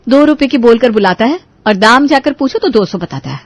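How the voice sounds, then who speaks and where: A woman's voice: on the high side, animated, brisk, no room sound. Woman narrating a story, dry close sound. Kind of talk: scripted bedtime story narration.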